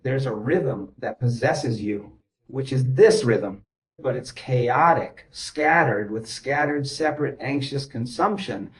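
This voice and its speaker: A man's voice: mid-range voice, expressive, takes it slowly, rough recording. Insistent man talking through therapy. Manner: insistent, repeats questions to check understanding. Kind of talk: group therapy session talk.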